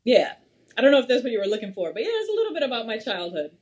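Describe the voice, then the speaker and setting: A young woman from Massachusetts, a podcast guest, talking at length in an animated, storytelling way. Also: fairly high pitch, rapid-fire. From Massachusetts, USA. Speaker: podcast guest, wealth strategist. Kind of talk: casual interview chat.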